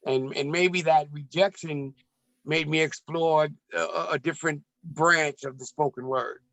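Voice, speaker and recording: A man from the United States, raised in Ethiopia, talking as a poet and musician guest in a reflective interview, measured and rhythmic with restarts. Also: a little high, fairly even intonation, gravelly, fairly bright tone, no echo.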